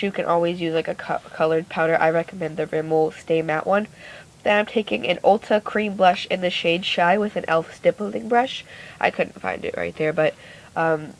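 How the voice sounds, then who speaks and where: Brief: woman with a raspy voice, casual and rambly beauty vlogger, phone mic. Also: on the low side, poor audio. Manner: chatty, rambling, self-correcting. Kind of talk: casual makeup tutorial narration. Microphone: phone camera.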